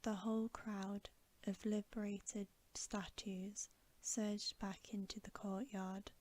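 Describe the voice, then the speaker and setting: Slow, whispering woman reading a bedtime story. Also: mid-range voice, little pitch movement. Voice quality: soft, breathy whisper. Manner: gentle, unhurried narration. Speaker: ASMR storyteller.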